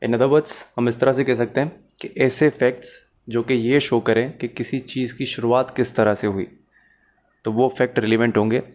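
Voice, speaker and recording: A man's voice: medium pitch, steady tone, normal speed, darkish tone. A man with a Pakistani accent giving a methodical, step-by-step explanatory lecture as a law teacher, mixing in English terms.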